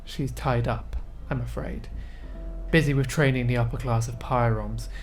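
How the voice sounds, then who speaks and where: A woman playing a coldly authoritative senior oracle in a fantasy audio drama, formal, measured and quietly menacing. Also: very deep voice, steady tone, faint music behind, pitched below the performer's own voice.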